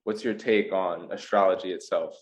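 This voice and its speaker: A man co-hosting a casual podcast, telling stories in an animated way and often chiming in with quick agreement. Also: sits low. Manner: slow, animated storyteller, says "facts" a lot. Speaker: podcast co-host. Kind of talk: casual chat.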